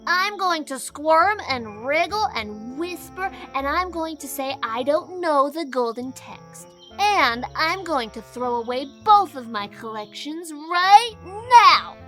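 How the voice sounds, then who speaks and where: A woman's voice: high voice, expressive, slow, hardly any pauses, a bit bright, faint music behind, full character voice. A woman voicing a mischievous, hot-tempered young boy in a blustering, folksy way for an audio storybook. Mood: triumphant defiance. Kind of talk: audio storybook character acting.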